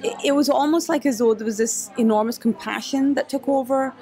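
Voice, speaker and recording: A woman's voice: sits high, fairly quick, warm tone, faint music behind. A woman answering interview questions in a cafe, thoughtful and hesitant, with many restarts. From Scotland. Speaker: guest, photographer and activist.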